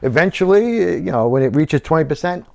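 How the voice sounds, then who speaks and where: A man's voice: a little high, lively intonation, fairly quick, vocal fry, a little mellow. A man presenting a hobby how-to in a practical, conversational way, explaining things informally as he goes.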